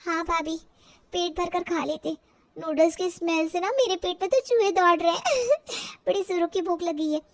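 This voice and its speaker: A woman's voice: extremely high pitch, normal speed, pitched above the performer's own voice. A woman voicing an eager, playful young sister-in-law with a bubbly manner in a family story.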